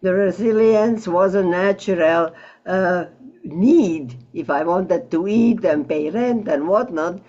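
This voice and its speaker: An elderly woman recalling her life in an interview, halting and reflective as she searches for words over an online call. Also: fairly low pitch, warm tone, lo-fi sound. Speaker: Holocaust survivor interviewee. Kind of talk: personal recollection in an interview. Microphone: video call.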